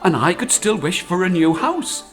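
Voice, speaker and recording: A man's voice: high voice, very animated, normal speed, faint music behind. A man doing a cross, scolding old woman. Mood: scheming. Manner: sharp, scolding, with hushed whispered wishes. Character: cross, scheming old woman, a folktale wife. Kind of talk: storybook character voice.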